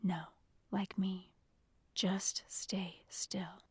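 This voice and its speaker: A woman voicing a protective young male agent in an audiobook, speaking in a calm, urgent, commanding whisper. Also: medium pitch, unhurried, husky, no room sound, pitched below the performer's own voice. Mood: hushed, firm. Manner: hushed, reassuring, commanding whisper. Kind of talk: audiobook character dialogue.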